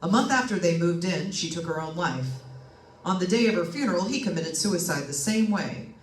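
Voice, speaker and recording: A woman's voice: on the low side, animated, fairly quick, lightly acted. A woman playing a plain-talking, skeptical male real estate agent in old-time radio drama style, telling things in a folksy, matter-of-fact way. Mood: grave, matter-of-fact. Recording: echoey room, distant mic, faint noise.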